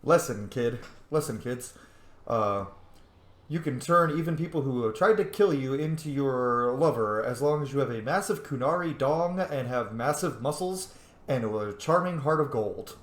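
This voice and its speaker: A man's voice: medium pitch, animated, normal speed. A man giving casual, jokey playthrough commentary on a video game, slangy and chatty. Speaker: video game streamer and role-player. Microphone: microphone. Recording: echoey room.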